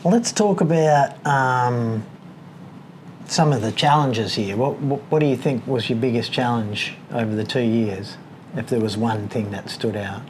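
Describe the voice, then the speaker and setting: A man's voice: mid-range voice, measured pace, a little mellow. Australian male interviewer, faint background noise. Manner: attentive, prompting with questions. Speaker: interviewer, host. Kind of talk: casual on-location interview. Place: a condo apartment.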